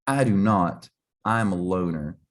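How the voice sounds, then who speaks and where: A man's voice: low-pitched, animated, measured pace. A man voicing a proud, mournful female cat who likes to be a loner, in a children's storybook reading. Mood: proud, sulky.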